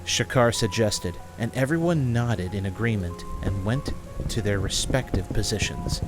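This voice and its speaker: A man's voice: on the low side, moderate pace, music in the background. A man reading scripted narration for a fantasy audio drama.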